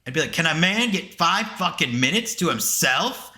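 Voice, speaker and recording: A man's voice: fairly high pitch, expressive, moderate pace, pushed and bright. A man co-hosting a podcast in casual banter, emphatic and sweary, often repeating himself for effect. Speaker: podcast co-host.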